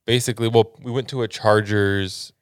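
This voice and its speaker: Low-voiced young man, easygoing co-host. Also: steady tone, moderate pace, smooth, no echo, clean studio sound. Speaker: podcast co-host. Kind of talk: casual chat.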